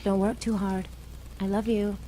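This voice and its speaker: A woman voicing a loving young wife and mother in a video game, speaking softly and affectionately. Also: medium pitch, level tone, measured pace, a little hiss or hum, lightly acted, low-quality recording. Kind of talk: game character dialogue.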